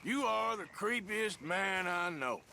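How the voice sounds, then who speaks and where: A man playing a gruff, sardonic American outlaw cowboy in a video game, drawling, dry and blunt. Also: sits high, lively intonation, takes it slowly, sharp tone, a little hiss or hum, full character voice. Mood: confrontational.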